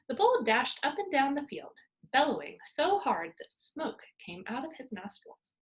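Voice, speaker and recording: A woman reading a children's book aloud as a storyteller, with expressive narration for young pupils. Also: high voice, unhurried, dull audio.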